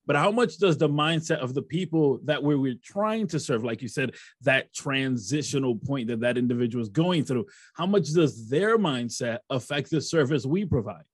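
Measured man hosting a podcast. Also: medium pitch, animated, slightly rough, no echo, clean studio sound. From Rochester, New York. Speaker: podcast host. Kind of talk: interview questions.

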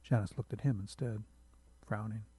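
A man's voice: fairly low pitch, fairly even intonation, normal speed, dark tone, breathy edge, no echo. A man reading a literary short story aloud as a narrator, close to the microphone.

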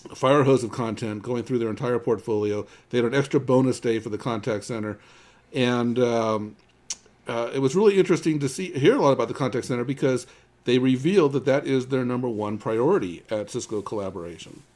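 A male podcast host with a mid-pitched voice talks through industry news in a conversational way. His delivery is halting, often doubling back on the first words of a phrase. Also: darkish tone. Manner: halting, with repeated word starts. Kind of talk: conversational tech news discussion.

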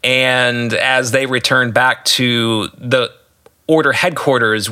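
A man's voice: mid-range voice, bright, forceful tone. A man giving solo podcast commentary as an author and host, earnest and explanatory. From United States.

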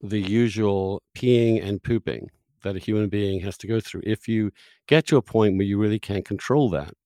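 A man's voice: fairly low pitch, moderate pace, dark tone, no echo. A man hosting a conversational interview, speaking as the interviewer. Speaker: interviewer, podcast host.

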